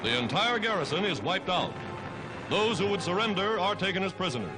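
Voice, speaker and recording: A high-pitched American man delivers scripted 1940s newsreel narration. He declaims each phrase with emphatic drama. Loud music plays behind him on the period soundtrack. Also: very animated, a little fast, low-quality recording.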